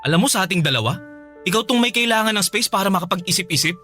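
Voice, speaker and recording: An actor playing a stern, curt, exasperated young ex-boyfriend in a radio drama. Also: male voice, high voice, expressive, very fast, sharp tone, soft background music. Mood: exasperated, firm. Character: stern, fed-up, career-minded young ex-boyfriend.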